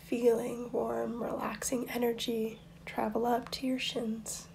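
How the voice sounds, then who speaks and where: Female meditation guide, higher-pitched with creaky edges, soft and breathy, soothing delivery, often close to a whisper. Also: little pitch movement, a little hiss or hum, poor audio. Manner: soothing, unhurried instruction with long pauses.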